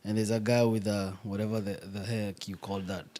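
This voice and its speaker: A man's voice: lowish voice, flat delivery, brisk, mellow. Man hosting a casual podcast interview. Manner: frequent backchannel affirmations, searches for words. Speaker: podcast host.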